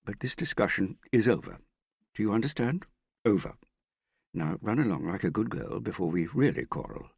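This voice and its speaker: A man's voice: fairly low pitch, very animated, normal speed, gravelly, darkish tone, husky, no echo, studio quality, voice pushed up, heavily acted. A man acting a pompous, melodramatic middle-aged father. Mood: wounded, indignant. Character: vain, theatrical middle-aged father, wheedling and self-pitying. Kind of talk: audiobook character dialogue.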